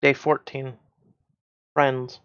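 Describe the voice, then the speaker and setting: A man reading scripted story diary entries as a narrator, in a measured, formal manner. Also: mid-range voice, flat delivery, dull audio. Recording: loud music behind.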